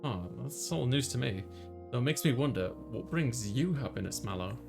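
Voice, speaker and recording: A man's voice: mid-range voice, animated, moderate pace, gravelly, over music. Man playing a sheepish young college guy. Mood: easygoing. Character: flustered young male college student, narrating his story.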